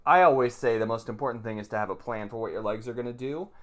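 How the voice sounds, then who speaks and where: Man teaching in a plain-spoken, halting way. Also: mid-range voice. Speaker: meditation teacher, video host. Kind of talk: informal teaching commentary with read-aloud passages.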